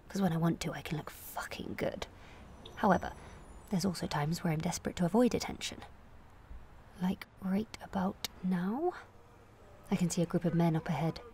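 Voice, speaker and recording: A woman's voice: on the low side, gravelly, breathy edge. Candid, wry woman in her late twenties. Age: late 20s. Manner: candid, wry, self-questioning, shifts between confiding and animated. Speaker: monologue performer. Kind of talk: confessional comic audio monologue, present-tense narration.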